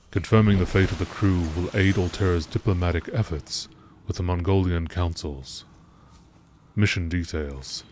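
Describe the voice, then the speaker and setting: A man reading scripted text aloud as a narrator, measured and deliberate, in a nature documentary style. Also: deep voice, darkish tone, slight background noise.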